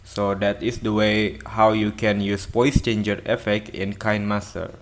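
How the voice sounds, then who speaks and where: A man presenting an instructional software tutorial in simple steps, often checking in with a short tag question. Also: on the low side, level tone, a little slow, noisy background. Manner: simple step-by-step phrasing with frequent "okay?".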